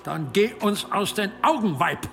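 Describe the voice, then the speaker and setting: Commanding prelate, creaky, slightly high voice, stern, faint music behind. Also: male voice, lively intonation, unhurried, fairly bright tone, full character voice. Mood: disdainful. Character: imperious, stern archbishop presiding in judgment. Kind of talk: period radio drama acting.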